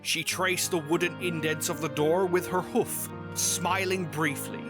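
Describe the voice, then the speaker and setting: A male narrator reads a scripted story. His voice sits a little high for a man, and music plays clearly behind him. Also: fairly even intonation, unhurried.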